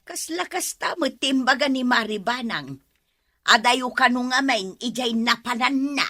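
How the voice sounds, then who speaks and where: Bright, high-voiced gossipy village woman, acted by a woman. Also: lively intonation, measured pace, full character voice. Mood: chatty. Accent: Ilocano. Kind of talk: Ilocano comedy radio-style drama acting.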